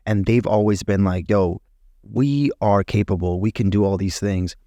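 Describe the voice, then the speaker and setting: A man with a low voice co-hosts a podcast, talking in an impassioned, casual way. He is earnest and emphatic, with plenty of slang. The sound is dry and close, without room echo. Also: steady tone, moderate pace, dark tone. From Afghanistan, moved to Canada at almost ten. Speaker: podcast co-host.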